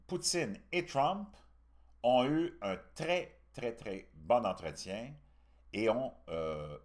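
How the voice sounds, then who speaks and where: A man from Canada with a Québécois accent gives casual, opinionated political commentary as a host, speaking colloquially and emphatically. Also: medium pitch. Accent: Québécois French.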